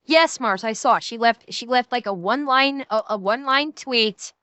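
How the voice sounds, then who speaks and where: A woman's voice: on the high side, measured pace, bright, forceful tone, clean tone, no room sound. A young woman streaming live, talking indignantly to her chat and swearing as she answers viewers.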